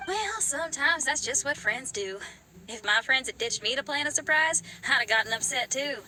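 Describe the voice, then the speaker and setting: A woman's voice: sits high, expressive, moderate pace, sharp tone, exaggerated voice, rough recording. A woman voicing a friendly, frank young woman in video game character dialogue.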